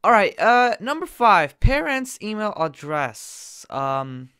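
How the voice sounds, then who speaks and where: A young man casually commenting while he plays a game on his computer, excitable and jokey, jumping from loud bursts to quiet mumbles. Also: high-pitched, takes it slowly, heavy background noise. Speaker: gaming YouTuber.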